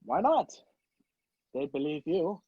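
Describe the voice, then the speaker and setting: A man's voice: on the high side, big pitch swings, slow, slightly rough, dry recording, exaggerated voice. A man acting a wrongly accused, impatient man on the run in a radio play over a video call. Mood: desperate, urgent. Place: video call, dry room. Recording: muffled video-call audio.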